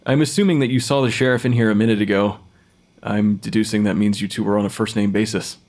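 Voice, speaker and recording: An actor playing a brusque forensic pathologist in an audio drama, clinical and matter-of-fact. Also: male voice, on the low side, steady tone, fast talker, a little mellow, natural voice. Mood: measured. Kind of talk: dramatic audio fiction acting.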